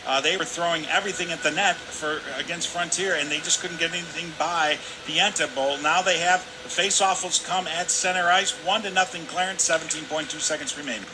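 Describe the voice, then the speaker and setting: A man's voice: on the high side, steady tone, a little fast, few pauses. A man giving live color commentary on a hockey game in a conversational, discursive manner. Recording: clear arena noise behind voice. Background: hockey arena ambience.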